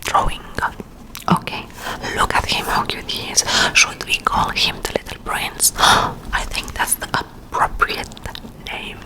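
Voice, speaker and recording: A woman whispering close to the mic in an ASMR video, chatting in rambling asides and reading aloud. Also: low-quality recording. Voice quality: soft unvoiced whisper. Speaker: ASMR creator. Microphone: close to a sensitive mic.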